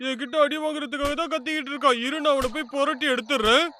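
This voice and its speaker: A man's voice: very high-pitched, steady tone, rapid-fire, bright, forceful tone, a little hiss or hum. A man voicing a hot-headed, greedy younger bear brother in a cartoon dub, brash and blustering.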